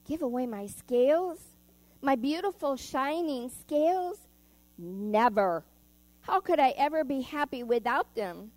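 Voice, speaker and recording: A woman's voice: high-pitched, lively intonation, unhurried, darkish tone, dry recording. A woman voicing a vain, proud male fish from a children's storybook, haughty and indignant. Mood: self-pitying.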